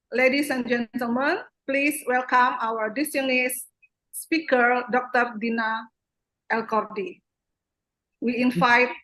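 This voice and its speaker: A woman's voice: on the high side, a bit bright, poor audio. A woman moderates a seminar session, formally reading out a speaker's introduction. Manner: formal, reads a prepared introduction.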